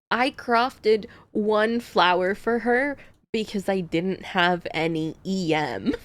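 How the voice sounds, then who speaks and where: A woman's voice: mid-range voice, slow. A woman streamer chatting casually on a livestream, thinking aloud about game builds and laughing often.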